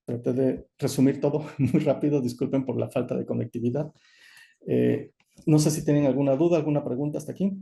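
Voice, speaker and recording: A man giving an online lecture over a video call, explaining in a measured way with frequent "eh" and "¿no?". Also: mid-range voice, fairly even intonation, dark tone. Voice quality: gravelly. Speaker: course lecturer.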